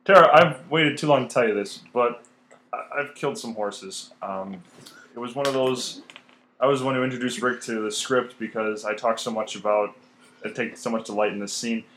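Halting man in a roomy recording. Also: mid-range voice, a bit bright, a little hiss or hum. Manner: halting, self-correcting. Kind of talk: comedic podcast banter.

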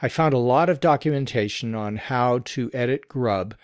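A man co-hosting a casual tech podcast, reading out a listener's email and explaining answers in a measured way. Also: mid-range voice, dry recording, clean studio sound. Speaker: podcast co-host.

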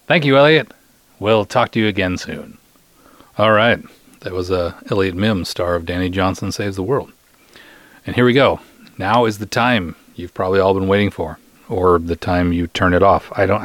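A man's voice: low voice, normal speed, fairly bright tone, no echo. A middle-aged man hosting a podcast in a casual, playful, teasing manner. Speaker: podcast host and interviewer. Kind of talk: casual hosting and light interview.

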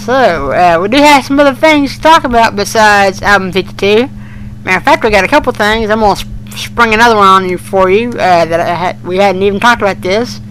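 A man co-hosting a casual fan podcast in a low-key, noncommittal, folksy way. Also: brisk, a bit bright, rough recording. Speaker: podcast co-host. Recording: amateur podcast with humming noise.

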